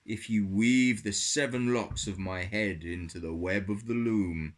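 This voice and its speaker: Boastful biblical strongman acted by a man, measured and declamatory, slow delivery for a puppet tale. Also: lowish voice, lightly acted. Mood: sly, measured. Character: boastful strongman Samson of Bible puppet story.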